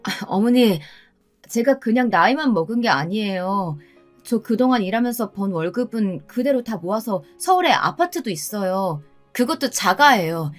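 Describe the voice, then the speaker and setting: A woman's voice: mid-range voice, animated, normal speed, faint music behind, pitched above the performer's own voice, lightly acted. A woman as an earnest, firm career woman. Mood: assertive. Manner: polite, persuasive.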